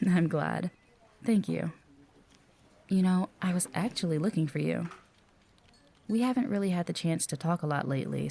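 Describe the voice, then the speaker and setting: A woman plays an earnest office receptionist in an audio drama. Her voice is low and mellow, and she speaks politely and conversationally, with a careful, hesitant manner. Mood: apologetic, friendly. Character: friendly, earnest, cautious female office receptionist. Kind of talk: audio roleplay acting.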